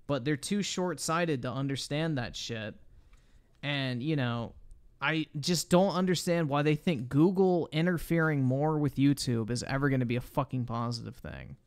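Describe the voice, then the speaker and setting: A man's voice: medium pitch, moderate pace, clear, resonant tone. A man doing casual live-stream reaction commentary, blunt and slangy with frequent swearing. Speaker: livestreamer reacting to videos.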